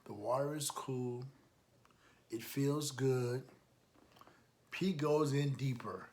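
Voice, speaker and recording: A man's voice: medium pitch, takes it slowly, darkish tone, a little hiss or hum. A man reading a picture book aloud for children, adding encouraging, emphatic asides and putting questions to his listeners. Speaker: storytime reader, coach.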